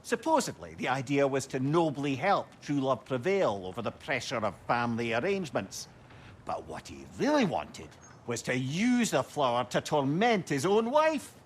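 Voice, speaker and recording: A man's voice: medium pitch, very animated, moderate pace, slight background noise, lightly acted. A man playing a genial, witty old former trickster in a video game, with a Scottish accent and a wry, talkative, old-fashioned way of speaking. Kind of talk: video game dialogue, storytelling banter.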